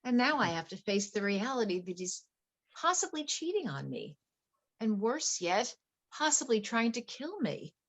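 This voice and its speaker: A woman's voice: on the high side, sing-song, moderate pace, a bit bright, heavily acted. A woman playing a betrayed wife turned coolly vengeful poisoner in a dramatic stage play reading. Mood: distraught. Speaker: actor in a play workshop.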